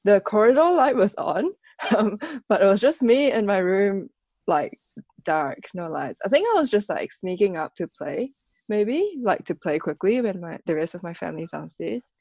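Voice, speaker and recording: A woman's voice: medium pitch, very animated, moderate pace, darkish tone, dry recording. A young woman from Malaysia chatting casually as a podcast co-host, giggling often and filling her talk with "like". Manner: giggly, many "like" fillers. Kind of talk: casual chat.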